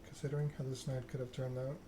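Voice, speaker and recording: A man acts out a coldly controlled vampire enforcer in a story game. He is curt and measured, with menace held in a flat, mid-pitched voice. Clear noise sits behind the voice. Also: close to an everyday voice. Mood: stern warning.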